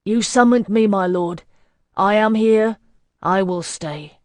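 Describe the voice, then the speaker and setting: A woman's voice: fairly low pitch, fairly even intonation, unhurried, darkish tone, no echo, natural voice. A once carefree young prince, now desperate, as a woman reading the audiobook puts him on. His lines come raised, strained and urgent, lifted above the narration. The sound is close and dry. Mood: anguished. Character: young man, careless prince turned desperate.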